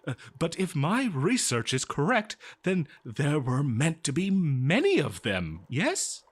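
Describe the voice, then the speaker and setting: A man's voice: on the high side, wildly swooping pitch, slow, breathy edge, heavily acted. A man playing an eager, kindly archaeologist in a fantasy audio roleplay, speaking in a hesitant, stammering, scholarly way straight to the listener. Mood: hopeful, excited. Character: eager, kindly male archaeologist befriending a lonely monster. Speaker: audio drama performer. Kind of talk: scripted fantasy audio roleplay, one-sided dialogue to listener.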